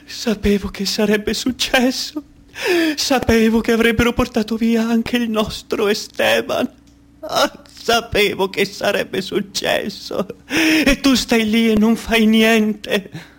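A man's voice: very high-pitched, fairly even intonation, takes it slowly, pitched above the performer's own voice, full character voice. A man acting an anguished mother of a disappeared son in a radio drama, wailing and reproachful. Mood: despairing, accusing.

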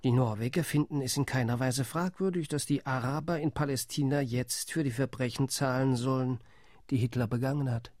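A man's voice: mid-range voice, steady tone, a little fast, darkish tone, dry recording, studio quality, lightly acted. An actor playing an indignant male delegate, arguing firmly in a radio play monologue.

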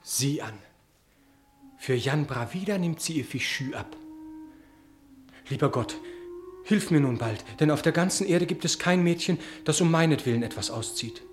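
A man's voice: fairly high pitch, lively intonation, normal speed, long pauses, over music, natural voice. An actor playing an earnest, dissatisfied romantic man, declamatory and theatrical in a 1960s German radio play. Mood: yearning, indignant.

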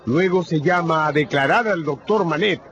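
A man's voice: fairly high pitch, normal speed. A man narrating a radio drama from a script in a dramatic style. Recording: dull, noisy radio recording.

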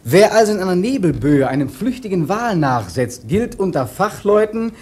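An actor playing a sailor who recounts a perilous whale hunt, narrating emphatically and theatrically in a husky voice for a radio play. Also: male voice, high voice, big pitch swings, moderate pace, a little mellow, exaggerated voice. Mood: wry, incredulous. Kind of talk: dramatic first-person radio play narration. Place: dry studio.

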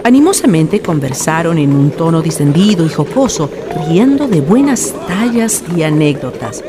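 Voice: medium pitch, sing-song, moderate pace, music in the background. A narrator reading a scripted radio drama in a solemn, literary storytelling manner. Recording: noisy ambient radio drama recording.

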